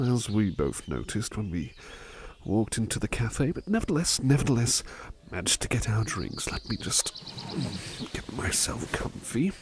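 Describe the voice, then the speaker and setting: Give the voice of a devoted butler , acted by a man, in a gentle ASMR roleplay. He speaks slowly with a gravelly, creaky edge, courteous and tender. His intonation swoops freely as he softly reassures the listener. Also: medium pitch, a little hiss or hum. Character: devoted, gentle, polite male butler companion. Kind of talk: ASMR roleplay, soft personal attention.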